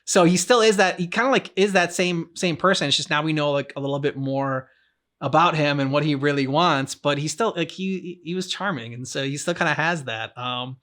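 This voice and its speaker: A man's voice: a little high, fairly quick, fairly bright tone. A man co-hosting a game reaction show, chatting casually, rambling and often repeating and restarting his phrases. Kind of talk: casual discussion of a game's story.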